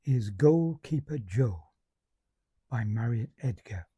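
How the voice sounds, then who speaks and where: Dark, husky man reciting. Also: medium pitch, expressive, slow, dry recording, studio quality. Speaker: amateur monologue reciter. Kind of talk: recited comic verse monologue.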